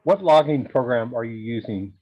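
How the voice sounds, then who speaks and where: A man's voice: medium pitch, normal speed, warm tone, dull audio. A man in the audience at a club meeting, casually asking the speaker questions.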